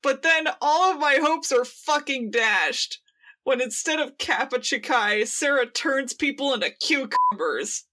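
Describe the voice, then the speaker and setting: A young woman with a high, very bright voice talks as a podcast co-host. She is excitable and giggly in casual fan chat. Swear words slip in freely. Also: measured pace. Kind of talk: casual fan discussion of audio dramas.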